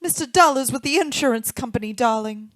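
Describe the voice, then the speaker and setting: An anxious, protective wife from a radio melodrama, played by a woman. Her voice is high and sits close and dry. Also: brisk, dry recording, natural voice. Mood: protesting. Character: distressed, protective young wife. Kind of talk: old-time radio drama acting.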